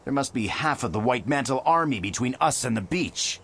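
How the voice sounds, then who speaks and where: An animated wary rebel fighter in a video game cutscene. His mid-pitched voice is earnest and questioning, with faint noise behind it. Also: a little fast. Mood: alarmed. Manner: questioning, dramatic.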